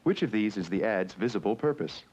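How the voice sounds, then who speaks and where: A man narrating an educational film in a measured, instructional manner, with questions put to the viewer. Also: lowish voice, animated, darkish tone, slightly rough. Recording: muffled 1970s educational film soundtrack with music.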